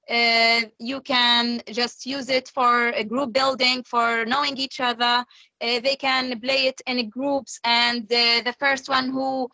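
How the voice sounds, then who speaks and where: A woman whose first language is Arabic, presenting a webinar and leading an icebreaker, speaking slowly with many fillers over a video call. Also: sits high, gravelly, bright, forceful tone, dry recording, poor audio. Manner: slow, hesitant, with many fillers.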